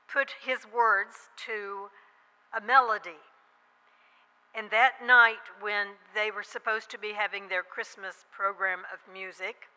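A woman's voice: fairly high pitch, bright, forceful tone. A woman pastor telling a story in a chatty sermon, breaking in with self-deprecating asides. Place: church sanctuary. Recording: long reverb of a church hall.

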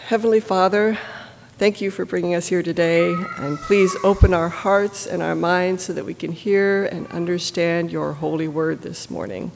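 A scripture reader with a stuffy, congested voice and a gravelly edge, reading at an even pace. The sound is picked up across a large church sanctuary, with a long echo trailing each phrase. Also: medium pitch, moderate pace, a little hiss or hum. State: stuffy from a cold. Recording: echoey church from a distance.

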